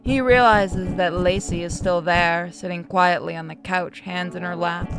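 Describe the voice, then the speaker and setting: Low-voiced woman narrating, music behind. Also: steady tone, measured pace, rough recording. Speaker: narrator reading a visual novel aloud. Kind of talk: reading game narration and dialogue aloud.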